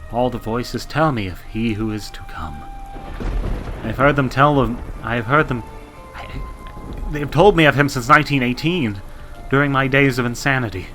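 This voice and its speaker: A man's voice: medium pitch, fairly bright tone, faint music behind, pitched above the performer's own voice, natural voice. Man voicing a haunted, fervent visionary reverend colonel. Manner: fervent, halting, prophetic. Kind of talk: radio drama acting.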